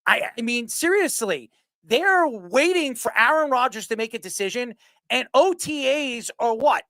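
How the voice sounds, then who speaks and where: A sports talk show host giving opinionated commentary in an emphatic, declamatory way with a creaky voice. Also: medium pitch, lively intonation, unhurried, fairly bright tone, no room sound, studio quality.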